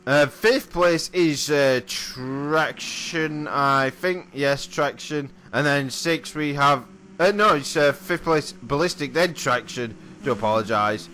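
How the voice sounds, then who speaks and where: Croaky man commentating a sim race. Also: fairly high pitch, slow pace, pushed and bright, a little hiss or hum. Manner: chatty, apologetic, self-correcting. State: hoarse, losing his voice. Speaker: sim racing commentator and driver. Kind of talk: race commentary over a replay.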